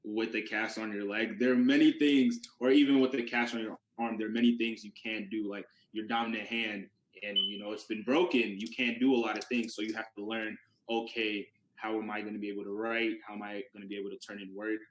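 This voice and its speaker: A man's voice: lowish voice, flat delivery, brisk, few pauses, reverberant, slight background noise, poor audio. A young man whose first language is Yoruba, giving a casual classroom presentation as a student presenter and talking it through with rhetorical questions. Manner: conversational, restarts words, asks rhetorical questions.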